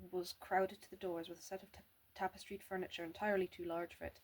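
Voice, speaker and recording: A young woman reads a classic novel aloud at home, often tripping over words and starting them again. Her voice is husky and sits low for a woman. The delivery is plain and unpolished. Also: darkish tone, poor audio. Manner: stammers and restarts words, plain reading. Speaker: amateur reader.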